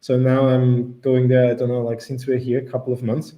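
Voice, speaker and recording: Austrian guy chatting on a live q&a, mellow darkish voice, kinda hesitant, restarts a lot. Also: medium pitch, fairly quick. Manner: relaxed, hesitant, restarts sentences. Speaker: co-host of a travel Q&A. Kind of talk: casual live chat.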